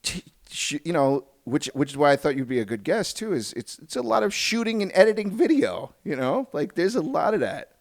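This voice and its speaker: A man's voice: a little high, brisk, creaky. A man hosting a podcast in a casual, rambling, sweary way. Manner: animated, rambling, profane. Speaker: podcast host. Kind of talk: casual chat.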